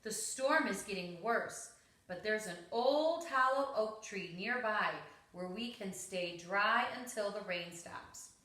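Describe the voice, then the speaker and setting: A woman's voice: mid-range voice, expressive, takes it slowly, sharp tone. A woman voicing a caring, watchful mother mouse in a storybook, with a reassuring, motherly manner. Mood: relieved, urgent. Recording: roomy, echoing home recording.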